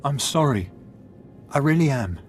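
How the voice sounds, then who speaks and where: An actor voicing a reluctant male thug who speaks apologetically and softly. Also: mid-range voice, animated, unhurried, soft background music, lightly acted. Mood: regretful. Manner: apologetic, soft.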